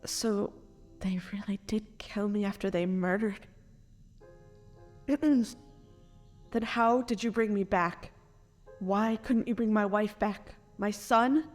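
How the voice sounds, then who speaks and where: An actress playing a grieving, stitched-together woman brought back from death, speaking in anguished, halting phrases in an audio drama. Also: medium pitch, close to an everyday voice. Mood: bewildered grief. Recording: long reverb, music underneath.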